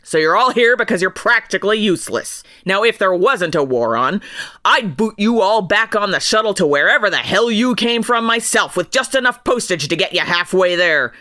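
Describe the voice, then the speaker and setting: A woman playing a gruff, sarcastic, bullying military commander in an audio drama, barking with open contempt. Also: mid-range voice, lively intonation, normal speed, bright, forceful tone, no echo, clean studio sound. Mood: angry, sarcastic.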